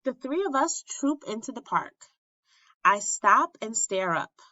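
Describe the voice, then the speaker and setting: A woman reads a children's story aloud expressively, with dramatic pauses and emphasis on key phrases. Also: on the high side, sing-song, bright, forceful tone. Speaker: storybook reader at an elementary school. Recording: roomy, slightly dull lo-fi recording.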